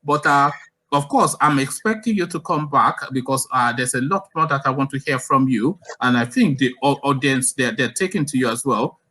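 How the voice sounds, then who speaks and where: A man's voice: medium pitch, moderate pace, sharp tone, husky. A man hosting a live call-in discussion, talking in a loose, chatty way with many fillers. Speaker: host.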